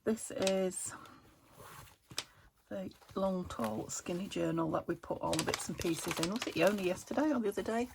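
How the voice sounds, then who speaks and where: A middle-aged woman with a croaky voice from a cold, chatting casually while she crafts and asking little tag questions. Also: medium pitch, normal speed, background noise. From England. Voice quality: gravelly. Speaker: craft vlogger. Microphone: phone camera.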